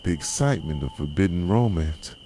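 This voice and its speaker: A man playing a possessive, wounded yandere boyfriend in an intense, pleading ASMR roleplay monologue, with a soft voice. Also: sits low, animated, a little fast. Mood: bitter, wounded. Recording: noisy track with night crickets and owls. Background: night ambience of crickets, owls and insects.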